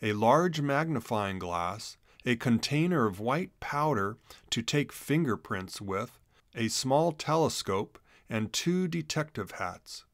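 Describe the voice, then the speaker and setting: Guy reading a kids book out loud, super expressive, dry close sound, middling pitch. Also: slow, dry recording. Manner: expressive read-aloud delivery. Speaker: narrator. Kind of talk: scripted children's storybook narration.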